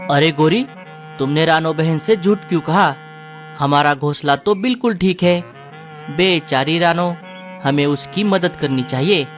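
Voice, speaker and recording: A man's voice: on the high side, unhurried, faint music behind, lightly acted. An actor playing a kind male crow who offers help in a cartoon story. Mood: earnest. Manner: earnest and reassuring.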